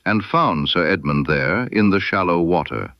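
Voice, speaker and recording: A man narrating a period detective story as an audiobook, in a measured, formal storytelling manner. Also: deep voice, no room sound, dull audio. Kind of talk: scripted literary mystery narration in Victorian first person.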